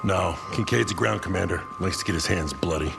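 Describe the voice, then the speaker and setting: An actor playing a gruff, terse American squad leader in a military video game, commanding and profane. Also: male voice, low-pitched, a little fast, heavy background noise. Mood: terse, focused. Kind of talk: cinematic video game acting.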